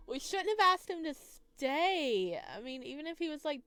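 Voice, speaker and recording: A woman's voice: high-pitched, sing-song, moderate pace, pushed and bright, no room sound. A woman doing a visual novel let's play, reading the text aloud with giggly, gushing, exclaiming reactions. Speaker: gaming video host.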